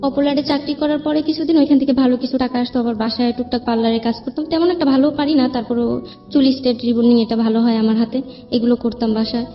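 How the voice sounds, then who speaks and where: A woman's voice: fairly high pitch, steady tone, fast talker. A young woman with a Bangladeshi accent tells her life story in an interview in a colloquial, rambling way. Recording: muffled lo-fi audio, music behind. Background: background music.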